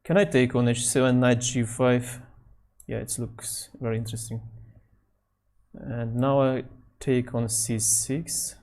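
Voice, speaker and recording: A man talks slowly through a chess game in English. He thinks out loud, often pausing mid-phrase. Soft mouse clicks can be heard behind him. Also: mid-range voice, steady tone. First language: Nogai. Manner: halting non-native English, thinking out loud. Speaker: chess streamer. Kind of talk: thinking aloud while playing online chess.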